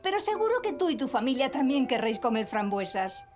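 Highish, lively Castilian cartoon schoolteacher. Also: female voice, fairly quick, over music. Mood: encouraging. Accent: Castilian Spanish. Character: encouraging female preschool teacher, kind and patient. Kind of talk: children's cartoon dub acting.

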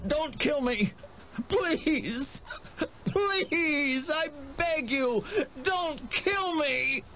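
A man's voice: animated, extremely slow, bright, forceful tone, heavily acted. A man voicing a frightened, cowardly fat boy who whines, sobs and begs for mercy in a storybook. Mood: pleading. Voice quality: high, thin, tearful. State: sobbing. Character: terrified fat boy, whining and begging for mercy. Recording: dull audio with faint noise.

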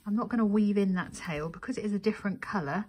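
A woman's voice: medium pitch, fast talker, a little mellow, breathy edge. A woman presenting a craft tutorial, giving methodical step-by-step instruction and counting as she goes.

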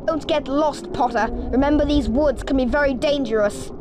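A woman's voice: sits high, normal speed, noisy background, natural voice. A woman voicing a spoilt, haughty schoolboy wizard bully who taunts and sneers, in a video game. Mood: scornful. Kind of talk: video game character acting.

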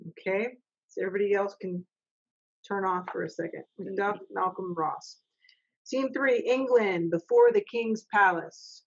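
A woman's voice: mid-range voice, breathy edge. Middle-aged woman reading stage directions. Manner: brisk, practical, coaxing the young readers along. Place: home office. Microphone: laptop mic on a video call. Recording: dull lo-fi video call audio.

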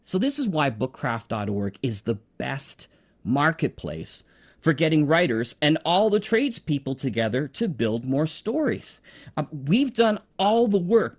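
A man giving an enthusiastic, emphatic promotional pitch in a conversational explainer style. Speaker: presenter promoting an online marketplace.

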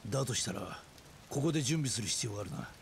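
Gruff, mid-pitched serious ally. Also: male voice, animated, fast talker. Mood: concerned, resolute. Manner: gruff, decisive. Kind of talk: dramatic video game acting. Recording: faint rain noise behind.

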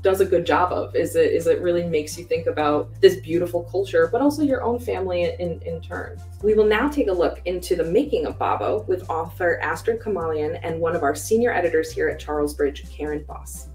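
Low-voiced woman presenting new books. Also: lively intonation, brisk, hardly any pauses, darkish tone, faint music behind. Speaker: publishing staff presenter. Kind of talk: panel book presentation. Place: bookshelf studio set, indoors.

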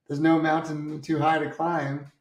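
A man's voice: fairly high pitch, monotone, unhurried. A man in his thirties hosting an interview over a video call, upbeat and enthusiastic. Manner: upbeat, enthusiastic, with repeated words in questions. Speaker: host. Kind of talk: scripted intro then interview questions. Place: indoor home office. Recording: echoey room on video call.

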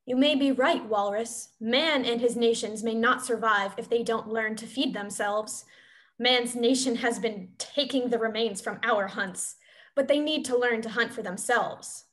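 A woman playing a blunt, wary, disdainful wolf, speaking in measured statements in a play reading. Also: on the high side, a bit bright.

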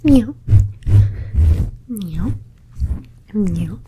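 A woman voicing a playful, doting pet cat in an ASMR roleplay, whispering softly and meowing between her words. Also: mid-range voice, animated, extremely slow, heavy background noise. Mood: whispery, playful. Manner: soft whispering with repeated meows.

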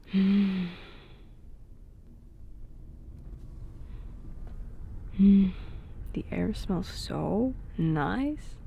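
A woman playing an affectionate, playful young girlfriend in an intimate, soft-spoken ASMR roleplay, with hesitant pauses. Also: mid-range voice, gravelly, husky, exaggerated voice. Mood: tender, delighted. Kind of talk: intimate ASMR roleplay, scripted but natural.

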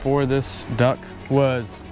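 A man's voice: medium pitch, flat delivery, drawn-out words. A man co-hosting a casual outdoor cooking demo, easygoing and upbeat as he chimes in with tips. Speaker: cooking show co-host. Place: outdoor campsite. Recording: music playing behind.

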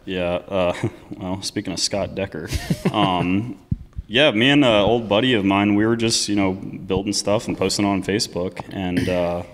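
A man in his thirties from the US East Coast, a guest telling racing stories in relaxed, casual talk that trails off and swears freely. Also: low-pitched, moderate pace, in a large hall. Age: 30s. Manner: laid-back, swears casually, trails off often. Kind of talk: casual storytelling chat.

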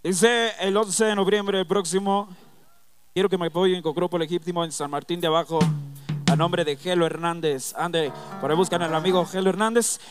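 A man with a fairly high voice calls greetings to names and towns from a concert stage, loud and half-chanted. A band keeps playing under him the whole time. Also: steady tone, moderate pace. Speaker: stage announcer reading shout-outs. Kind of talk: live concert shout-outs. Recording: live band playing behind. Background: live band music.

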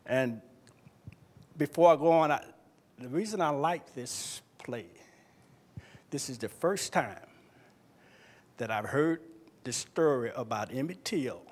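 Older southern us man in his 60s, mid pitch, telling what he witnessed, firm n deliberate. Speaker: guest eyewitness. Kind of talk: personal testimony to an audience. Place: auditorium stage. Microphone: handheld stage microphone.

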